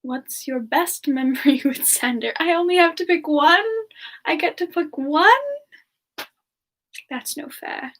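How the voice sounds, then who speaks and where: A woman doing a semi-ASMR live question-and-answer chat in character, musing aloud in a halting, giggly manner. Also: high-pitched, fairly bright tone. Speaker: livestream host in character, answering viewer questions.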